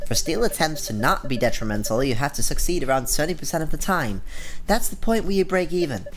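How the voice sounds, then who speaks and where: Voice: medium pitch, animated, fast talker, close to an everyday voice. A let's player voicing a pedantic, smug, logical teenage girl from a visual novel, precise and lecturing. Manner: precise, lecturing, matter-of-fact.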